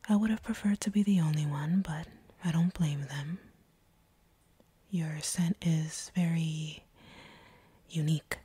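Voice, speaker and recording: A woman playing a seductive, teasing, slightly sadistic vampire captor in an intimate audio roleplay, speaking in a breathy whisper close to the microphone. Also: low voice, mellow, no echo, heavily acted. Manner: slow, teasing, predatory purr. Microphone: close microphone, dry.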